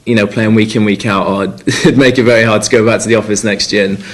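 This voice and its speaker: Man in his 20s, from North Wales, slightly low voice, hesitant, filler-heavy, press conference answers. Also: fairly even intonation, fast. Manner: hesitant, many fillers and restarts. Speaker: rugby player.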